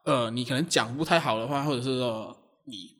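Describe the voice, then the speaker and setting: A man with a Malaysian accent chatting casually in an animated, self-deprecating way about his own amateur performing. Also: mid-range voice, brisk. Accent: Malaysian Chinese-language. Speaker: amateur audio drama performer. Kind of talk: casual chat.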